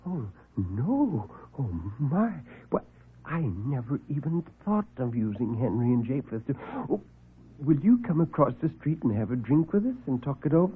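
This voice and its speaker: A man's voice: mid-range voice, big pitch swings, moderate pace, breathy edge. A man playing a meek, flustered, kindly fellow who stammers and fusses, in a classic radio drama. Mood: flustered, delighted. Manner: stammering, fussy, breathless exclamations. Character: meek, flustered, kindly man devoted to his unseen friends. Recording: muffled vintage radio recording with music.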